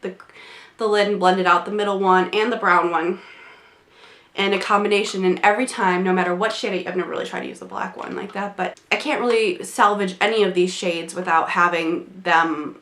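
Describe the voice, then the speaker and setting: A woman's voice: medium pitch, steady tone, a little fast, a bit bright. Young woman rambling about makeup, stuffy from a cold, echoey little room. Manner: chatty, rambling, many fillers and restarts. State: congested from a cold. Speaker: beauty vlogger. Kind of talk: casual product review. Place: small home room, indoors.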